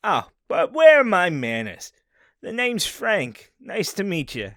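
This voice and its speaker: A man's voice: high-pitched, wildly swooping pitch, takes it slowly, fairly bright tone, no room sound, clean studio sound, heavily acted. A man playing a friendly, teasing crook in prison in an audio drama, talking in a playful, colloquial way. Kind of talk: audio drama character acting.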